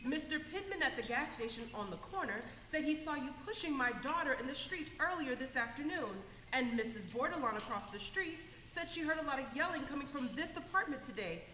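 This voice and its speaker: A woman's voice: sits high, expressive, fairly quick, few pauses, pushed and bright. A woman playing a feisty, protective single mother in a comic radio drama, forthright and dramatic. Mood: demanding, angry. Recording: echoey hall, faint background noise.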